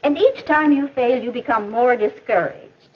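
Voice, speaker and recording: An American actress playing a patient, instructive female mentor in a 1950s educational film, explaining in a measured, didactic way. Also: a little high, slightly rough. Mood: encouraging, instructive. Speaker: teacher or counselor in an educational film. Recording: muffled old 1950s film soundtrack.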